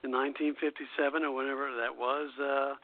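An older man hosting a radio show in a folksy, halting way, reminiscing and reading out notes. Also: mid-range voice, little pitch movement, dry recording. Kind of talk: casual reminiscing and reading out airline history notes. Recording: tinny narrow phone-line internet radio.

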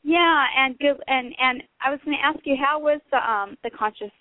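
A woman's voice: sits high, normal speed, no echo. A woman calling in to a radio show who explains her situation hesitantly, with many fillers. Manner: hesitant, many "um"s and "you know"s. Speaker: caller. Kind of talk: phone call to a radio show. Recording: tinny phone line, dry.

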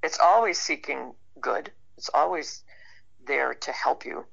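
A woman's voice: low voice, expressive, measured pace, vocal fry, a bit bright, breathy edge. A woman chatting casually and reflectively as an interview guest, informal and plain-spoken. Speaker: interview guest, actor and writer. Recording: dull lo-fi online-call sound.

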